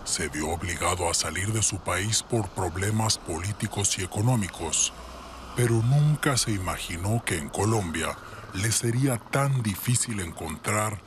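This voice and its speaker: A man narrating a scripted news report in a measured, formal way. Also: on the low side, lively intonation, background noise.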